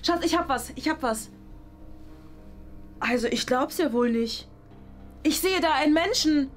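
Woman doing a horrified drama queen monster mom, super high voice, bit of music behind. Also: unhurried. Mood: aghast. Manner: melodramatic, repetitive, aghast. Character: appalled melodramatic non-human mother. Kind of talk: improvised fan-film acting.

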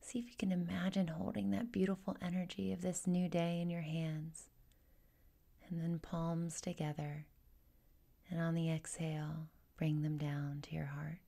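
A woman leading a guided meditation in a gentle, soothing, unhurried way, with a breathy, whispery, husky voice. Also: low voice, level tone. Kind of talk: guided meditation, soft instruction.

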